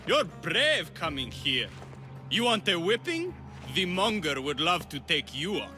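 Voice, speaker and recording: A man's voice: fairly high pitch, very animated, measured pace, bright, forceful tone, faint music behind, exaggerated voice. A man voicing a crude, menacing henchman who sneers and taunts in a video game. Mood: aggressive, taunting. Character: menacing, sneering male thug, crude henchman of a crime boss.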